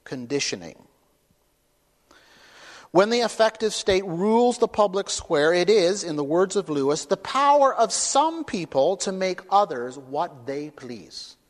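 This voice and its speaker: A man giving a public lecture and taking questions, speaking emphatically and building to loud, forceful peaks. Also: sits high, very animated, a little slow. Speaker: speaker at a talk. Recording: reverberant hall.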